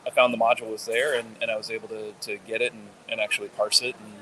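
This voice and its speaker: A man's voice: lowish voice, little pitch movement, fast, a bit bright, slight background noise, low-quality recording. A man guest explaining his work plainly and matter-of-factly, with frequent restarts. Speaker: guest, forensics developer.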